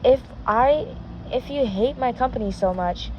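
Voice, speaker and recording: A woman voicing a teasing, excitable young man in a zombie story read aloud. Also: fairly high pitch, unhurried, muffled recording, slight background noise, natural voice. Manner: teasing, casual, profane.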